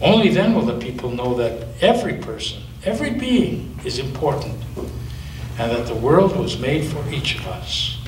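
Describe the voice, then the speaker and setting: A man voicing a wise, gentle ancient female turtle, speaking slowly and softly, recorded at a distance in a roomy space with faint hiss. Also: mid-range voice, sing-song, lightly acted.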